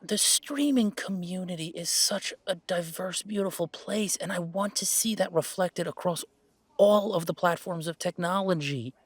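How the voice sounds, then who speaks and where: A man playing an earnest, playful young werewolf gamer in an intimate, conversational roleplay audio, speaking in a halting, emphatic way. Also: sits high, dry recording. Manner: halting, emphatic, expressive, with sighs and chuckles.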